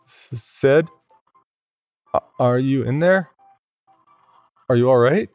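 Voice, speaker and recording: A man's voice: mid-range voice, slow, a little mellow, no echo, faint music behind, pitched above the performer's own voice. A man voicing a frightened, horror-struck man looking for his friend in a horror story, shaken and stammering. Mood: anxious calling.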